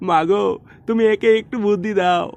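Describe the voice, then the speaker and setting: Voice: mid-range voice, fairly even intonation, slow pace. A man playing a miserly, melodramatic father in a Bengali comedy cartoon, grumbling in an exasperated, sarcastic way. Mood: mocking, dismissive. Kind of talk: comic cartoon dubbing in colloquial Bengali.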